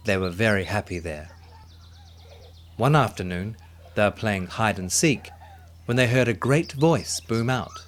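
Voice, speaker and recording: A man narrating a children's story in an expressive, dramatic way, with music behind him. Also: lowish voice, a little slow, long pauses, a little hiss or hum.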